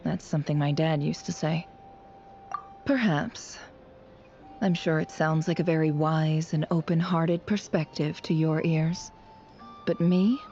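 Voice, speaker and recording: A sly, self-possessed game character, a woman with a low, dark and mellow voice. She speaks in a measured, sardonic way, sounding world-weary. Faint music sits behind her. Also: dull audio. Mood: cold, sardonic. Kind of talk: dramatic game dialogue.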